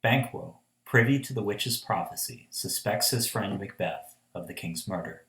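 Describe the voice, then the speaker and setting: A man's voice: lowish voice, normal speed, gravelly, a bit bright. A young man giving scripted narration for a radio play. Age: young adult. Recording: echoey room.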